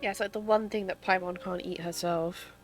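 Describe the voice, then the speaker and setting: A woman's voice: lowish voice, fairly even intonation, a little fast, soft background music. A woman streaming a game, giving casual, playful, slangy commentary.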